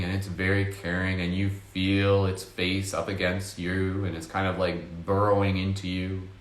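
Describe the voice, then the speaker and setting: A man leading a slow, soft-spoken guided meditation with casual fillers. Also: low-pitched, echoey room.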